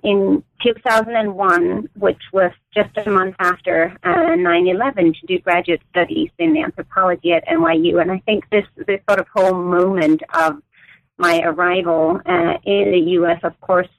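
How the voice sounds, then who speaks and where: A woman in her forties, raised in Denmark, speaking as an academic guest in an interview, choosing her words with many pauses and restarts. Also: fairly low pitch, lively intonation, creaky, dry recording, phone-quality sound. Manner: thoughtful, many fillers and restarts. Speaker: guest author, anthropologist.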